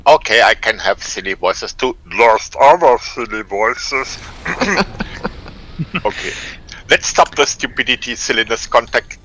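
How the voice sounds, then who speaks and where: A man from Germany co-hosting a gameplay stream, speaking haltingly with many fillers and a playful tone. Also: mid-range voice, expressive, pushed and bright, low-quality recording. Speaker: stream co-host. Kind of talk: casual gameplay stream chat.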